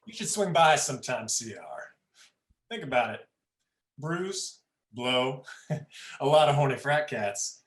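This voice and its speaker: A man's voice: mid-range voice, very animated, slow, pauses often. Man playing a cocky, roomy-sounding cartoonish tiger. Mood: boastful, lewd. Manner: brash and teasing, laughs at own jokes. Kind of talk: adult comedic stage play reading.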